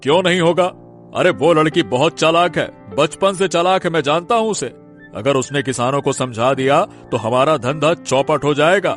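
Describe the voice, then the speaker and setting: A man's voice: a little high, lively intonation, moderate pace, a bit bright, faint music behind. A man playing a stern, domineering, vengeful village big man in a cartoon story, scolding and threatening. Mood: scolding, scheming. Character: menacing, vengeful village big man scheming against a young woman. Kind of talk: Hindi cartoon story acting.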